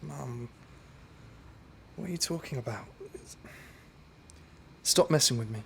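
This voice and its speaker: A man's voice: mid-range voice, slow pace, vocal fry, fairly bright tone, slight background noise. An actor playing a young man with schizophrenia, a nervous, stammering musician, in a radio drama. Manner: stammering, hesitant restarts.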